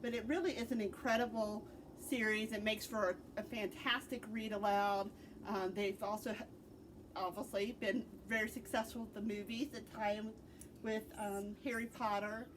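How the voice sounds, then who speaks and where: A woman's voice: medium pitch, fairly even intonation, normal speed, background noise. A middle-aged American woman, a librarian host, gives informal book recommendations in an explanatory way, stressing key words.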